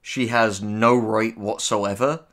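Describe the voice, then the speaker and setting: A man's voice: lowish voice, steady tone, slow pace, fairly bright tone. A man co-hosting a casual reaction commentary, blunt and opinionated in how he talks. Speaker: co-host, writer reading out and reacting to tips.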